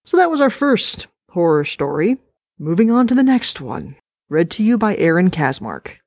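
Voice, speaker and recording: A woman hosting a podcast and reading a horror story aloud with an expressive delivery. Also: fairly low pitch, moderate pace, no room sound, clean studio sound. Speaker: podcast host and story reader.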